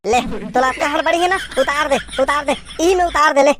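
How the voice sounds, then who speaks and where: High-voiced, stubborn cartoon village man, acted by a man. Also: brisk, a bit bright, dry recording. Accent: Chhattisgarh. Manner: insistent, repeats words emphatically. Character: stubborn, fussy, argumentative male villager on a picnic. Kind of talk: cartoon comedy dialogue.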